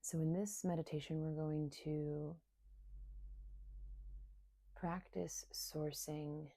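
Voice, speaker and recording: A woman leading a guided meditation in a soft, soothing, unhurried way, leaving long pauses between short phrases. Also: deep voice, flat delivery, no room sound. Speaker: meditation guide and somatic coach.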